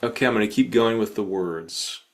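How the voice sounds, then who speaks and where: A man hosting a podcast interview, speaking conversationally and deliberately, in short phrases. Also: on the low side.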